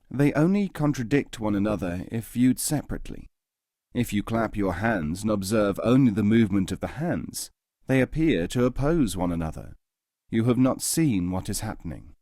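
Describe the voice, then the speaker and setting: A man narrating scripted tales as an audiobook reader, in a measured, articulate storytelling manner. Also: fairly low pitch, darkish tone, no room sound, clean studio sound.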